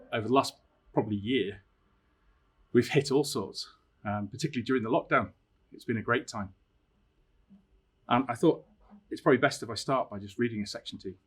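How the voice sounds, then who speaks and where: A middle-aged man, a teacher, talking about books and reading a story aloud with expressive, dramatic emphasis. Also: on the low side, breathy edge. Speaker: science teacher reading a favourite book aloud. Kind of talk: personal introduction and storybook reading.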